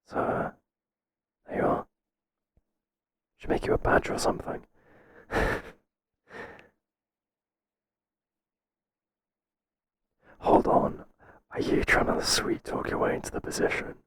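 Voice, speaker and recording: A man playing a mild, kindly workplace boss in a whispered ASMR roleplay, soft-spoken and halting with a breathy voice. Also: close to an everyday voice. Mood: gently teasing, curious. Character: mild, hesitant, kindly male workplace boss, flustered by flattery.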